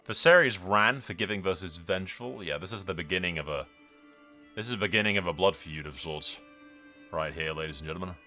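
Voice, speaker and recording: A man hosting a game roleplay series, telling the story as he plays in an excitable, dramatic way. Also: on the low side. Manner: excitable, dramatic, mild swearing. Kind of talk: lively game playthrough commentary and storytelling.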